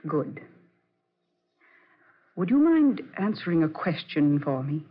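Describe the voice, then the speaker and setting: High-voiced, probing accomplice. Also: male voice, very animated, slow, husky, full character voice. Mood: probing, cool. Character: probing, suspicious accomplice wanting a share. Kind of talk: 1940s radio drama acting. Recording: muffled vintage radio recording.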